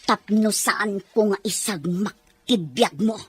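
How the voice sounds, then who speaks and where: A woman's voice: mid-range voice, slow, slightly rough. A woman acting as a scheming, commanding rich mistress who threatens her nanny in a drama. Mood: menacing, commanding. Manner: menacing, commanding. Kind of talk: Ilocano radio-style drama acting.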